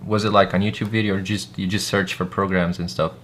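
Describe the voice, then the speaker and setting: A man hosting a casual interview, chiming in with short, keen agreement. His voice is low. He sounds far from the mic with faint noise behind him. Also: little pitch movement, quick pace, a bit bright. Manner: short agreeing replies, enthusiastic.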